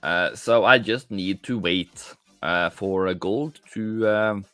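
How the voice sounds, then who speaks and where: A man's voice: low voice, slow pace, bright, forceful tone, no room sound. A man hosting a live trading stream, talking casually and answering viewers directly as he works through the charts. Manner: casual, chatty, answers viewers directly, calls them mate. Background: faint background music.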